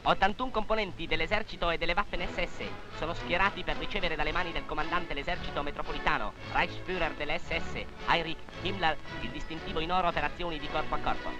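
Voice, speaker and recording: High-pitched male 1940s newsreel narrator. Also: steady tone, quick pace, hardly any pauses, low-quality recording. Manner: formal, declamatory. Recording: 1940s newsreel soundtrack, music behind.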